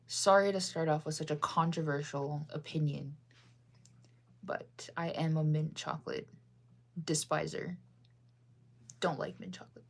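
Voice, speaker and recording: A young woman from the United States rambles through a casual livestream chat, answering viewers as she goes. Her voice sits a little low and she keeps circling back with fillers and restarts. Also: fairly even intonation, a little slow, creaky, pauses often. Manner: rambling, chatty, lots of fillers and self-corrections.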